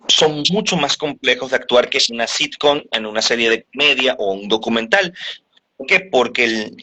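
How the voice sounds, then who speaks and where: A man's voice: medium pitch, pushed and bright, slight background noise, low-quality recording. A man who works as a voice actor and dubbing teacher, explaining his craft at length in a casual interview, often restarting his sentences.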